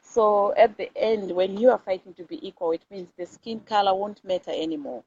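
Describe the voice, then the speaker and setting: A woman's voice: medium pitch, a little fast, a little mellow. Zimbabwean woman on dull, noisy audio. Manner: emphatic, repeats phrases for emphasis. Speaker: host of live videos. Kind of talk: informal motivational talk to camera. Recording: dull lo-fi audio with clear background noise.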